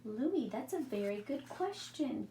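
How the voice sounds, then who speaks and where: Female teacher for children's story time, fairly high voice, simple questioning delivery, recorded at a distance. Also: very animated, unhurried, dark tone. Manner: simple wording, addresses young listeners with questions. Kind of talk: read-aloud story time for young children. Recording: echoey room, distant mic, faint noise.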